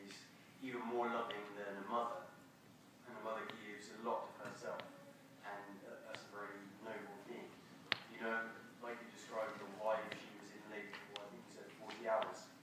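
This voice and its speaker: A man's voice: fairly low pitch, heavy reverb, recorded from a distance, heavy background noise. A man in the audience asking a lecturer a question, hesitant and repeating himself. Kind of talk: question from the floor.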